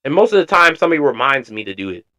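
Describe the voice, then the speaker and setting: A man's voice: mid-range voice, sing-song, rapid-fire, pushed and bright. A man streaming casual reaction commentary, slangy and blunt, swearing freely.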